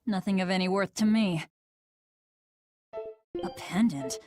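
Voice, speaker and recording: A woman's voice: mid-range voice, slow, natural voice. An actress playing a cold, aloof young woman in a video game, terse and dismissive. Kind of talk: scripted video game lines. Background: music.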